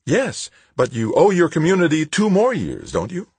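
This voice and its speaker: Man voicing a high, sing-song patronizing woman bureaucrat. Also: huge pitch swings, moderate pace, a little mellow, husky, no echo, exaggerated voice. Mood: sing-song cheer. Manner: sing-song, condescending schoolteacher tone, syrupy sweet. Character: plump fortyish woman bureaucrat, falsely cheerful, patronizing, pious. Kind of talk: audiobook character dialogue.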